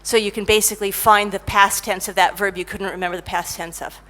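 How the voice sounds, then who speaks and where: A middle-aged woman giving a conference talk about technical writing, lively and self-mocking as she tells stories. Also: mid-range voice, brisk. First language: English. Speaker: conference speaker, technical writer.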